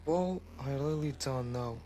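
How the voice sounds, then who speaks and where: A man's voice: mid-range voice, unhurried, mellow, natural voice. A man playing a serious, urgent police officer in an amateur audio drama, speaking emphatically. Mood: grave, confiding. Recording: humming background noise.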